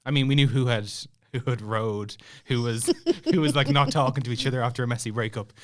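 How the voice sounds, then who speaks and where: A man's voice: medium pitch, steady tone, a little fast. A young Irish man telling a story as a podcast guest in a casual, chatty, self-deprecating way. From Ireland.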